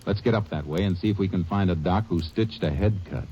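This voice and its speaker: Man playing a terse deep-voiced ranger. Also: brisk, natural voice. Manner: terse, clipped, no-nonsense. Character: tough, level-headed Texas Ranger. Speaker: lead ranger and narrator. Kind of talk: 1950s radio drama acting and narration. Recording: dull old radio recording with music.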